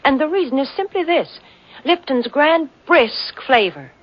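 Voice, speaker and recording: An actress playing a cheerful announcer reading a live tea advertisement on a 1940s radio show. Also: female voice, high-pitched, very animated, unhurried. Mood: cheery. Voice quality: bubbly, lilting sales delivery. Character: cheerful woman announcer pitching tea on air. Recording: muffled old radio broadcast.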